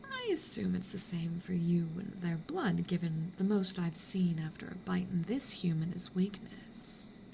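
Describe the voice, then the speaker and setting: A woman acts out a devious succubus for an ASMR roleplay. She is possessive and teasing, slipping into whispers, with a slow, low-pitched delivery over clear background noise. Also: sing-song, warm tone, exaggerated voice, poor audio. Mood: playful, devious.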